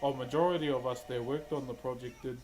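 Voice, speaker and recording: A man with a New Zealand accent talks in a laid-back, slangy way and chuckles now and then. His voice sits at an ordinary pitch. Music plays clearly behind him. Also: fairly even intonation, quick pace, poor audio. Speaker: wood carver streaming live. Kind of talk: casual chat with live viewers. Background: background music.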